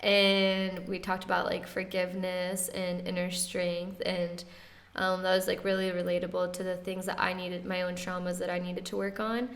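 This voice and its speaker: A woman in her twenties speaks in a relaxed, conversational way. Her pitch stays quite level, with little rise or fall. She talks softly, with light laughs and plenty of casual fillers. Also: normal speed. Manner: soft-spoken, relaxed, often laughing lightly, many "like" fillers. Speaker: interview guest.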